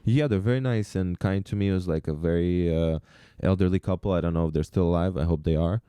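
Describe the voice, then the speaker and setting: A man's voice: sits low, fast, dark tone, clear, resonant tone, no room sound. A young man from Moldova, a podcast guest, telling stories in a laid-back, chatty way full of "like" and "you know". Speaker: podcast guest, ballroom dancer. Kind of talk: casual conversational storytelling.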